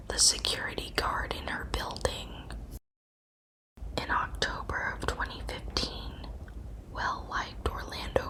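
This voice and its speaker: A woman narrating a scripted true crime story in a breathy, unvoiced ASMR whisper, with measured, deliberate phrasing. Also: poor audio.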